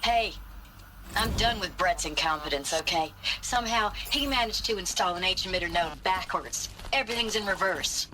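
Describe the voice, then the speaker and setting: A woman's voice: fairly low pitch, lively intonation, normal speed, background noise, close to an everyday voice. An actress playing an exasperated woman technician leaving a curt, irritated work log in a video game. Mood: fed up.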